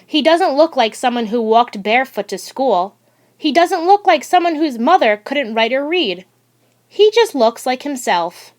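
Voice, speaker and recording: A woman narrating a children's picture book aloud in a deliberate, expressive storytelling manner. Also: sits high. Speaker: audiobook reader.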